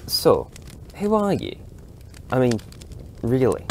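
Man playing a blunt young lad, higher-pitched. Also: wildly swooping pitch, takes it slowly, a little mellow, a little hiss or hum, heavily acted. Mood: puzzled, incredulous. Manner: plain-spoken, informal. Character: blunt, incredulous young Yorkshire farm lad.

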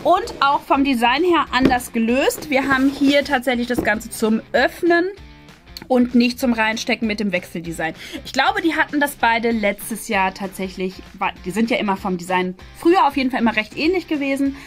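A woman's voice: on the high side, lively intonation, normal speed. A woman, hosting a casual, chatty product review. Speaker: host of an unboxing video. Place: small decorated indoor room. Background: faint music.

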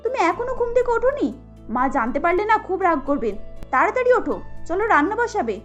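A woman's voice: very high-pitched, big pitch swings, a little fast, muffled recording, soft background music, pitched above the performer's own voice. A woman voicing an earnest, anxious young daughter-in-law in a cartoon, pleading and polite. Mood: urgent.

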